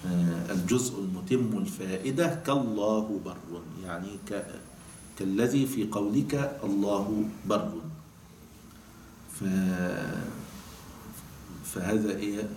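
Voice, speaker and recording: A man with an Egyptian Arabic accent teaching a classical grammar text. He explains patiently, reads quotations slowly and answers his own questions. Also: fairly low pitch, a little mellow. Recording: echoey room, voice from a distance, faint noise.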